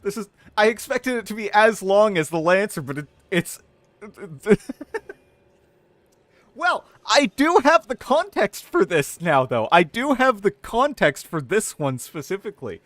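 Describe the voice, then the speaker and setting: A man's voice: high-pitched, sing-song, unhurried, a bit bright, dry recording. A man streaming a video game, switching between casual chat and dramatic readings of game lore.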